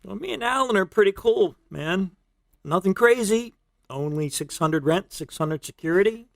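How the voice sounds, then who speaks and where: Exasperated young punk musician for a motion comic, played by an actor; slangy, blunt delivery. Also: male voice, high-pitched, expressive, normal speed, creaky.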